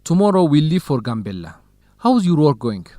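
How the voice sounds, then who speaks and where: Earnest young male researcher, expressive pitch. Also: normal speed, no room sound, studio quality. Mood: earnest, doubtful. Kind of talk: scripted educational radio drama dialogue.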